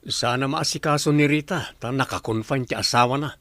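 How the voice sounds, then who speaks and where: An actor playing a tight-fisted, argumentative husband and dutiful son in an Ilocano radio drama. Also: male voice, medium pitch, fairly quick, a bit bright, no room sound, close to an everyday voice. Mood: matter-of-fact.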